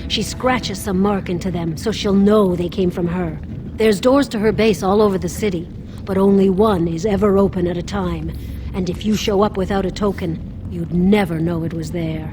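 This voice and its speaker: A woman's voice: mid-range voice, normal speed, background noise, natural voice. An actress playing a wily, crippled old beggar woman with a wheedling, streetwise manner in a fantasy game. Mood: wary, knowing.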